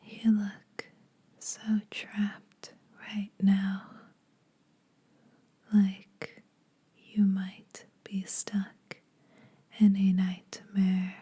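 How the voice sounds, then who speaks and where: A woman playing a menacing, teasing vampire huntress in a soft, breathy ASMR whisper, slow, deliberate and condescending. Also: medium pitch, completely flat delivery, exaggerated voice. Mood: cranky, menacing.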